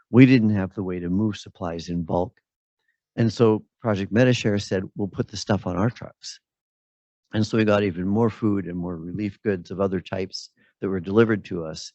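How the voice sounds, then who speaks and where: A man commenting at a symposium, speaking off the cuff in a halting way as he thinks aloud. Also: on the low side, darkish tone, no echo. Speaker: birth center operator, symposium participant. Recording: dull lo-fi audio.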